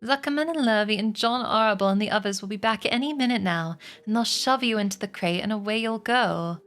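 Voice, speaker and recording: Woman doing a gentle lady spider, soft and steady, storybook style. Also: medium pitch, a little fast, natural voice. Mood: firm. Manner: soft and composed. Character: calm, dying female spider, gentle and firm. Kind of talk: storybook read aloud.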